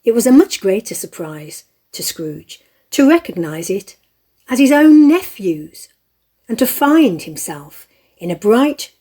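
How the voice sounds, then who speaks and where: Slow, husky woman narrating. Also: medium pitch, dark tone. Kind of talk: scripted storybook narration.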